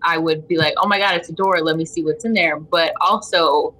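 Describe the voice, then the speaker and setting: Bright, husky low-voiced woman chatting casually. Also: fairly even intonation, a little fast, poor audio. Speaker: game player, guest. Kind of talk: casual chat.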